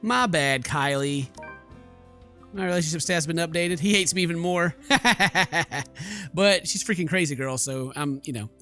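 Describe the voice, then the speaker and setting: A man's voice: a little high, unhurried, sharp tone, faint music behind. A man giving playful, joking let's-play commentary as host, slipping into mock voices. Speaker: gaming video host.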